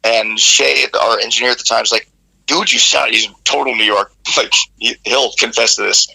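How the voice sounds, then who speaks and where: Man with a gravelly, forceful tone, candid storyteller who swears casually and talks with animation. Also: medium pitch, fairly even intonation, normal speed, poor audio. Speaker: guest, rock vocalist.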